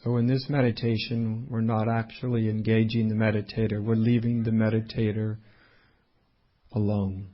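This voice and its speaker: A man leading a guided meditation as a teacher, speaking unhurriedly in short phrases with long pauses. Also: on the low side, level tone, highs cut off.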